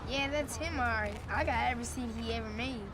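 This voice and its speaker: A woman's voice: fairly high pitch, quick pace, over music, close to an everyday voice. A woman voicing an eager, polite, starstruck young boy in a video game cutscene. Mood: excited. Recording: loud street noise behind.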